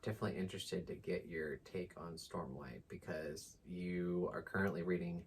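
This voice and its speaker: A man's voice: low voice, fairly even intonation, moderate pace, breathy edge. A man co-hosting a casual book chat with informal, slangy, enthusiastic talk. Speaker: book channel co-host.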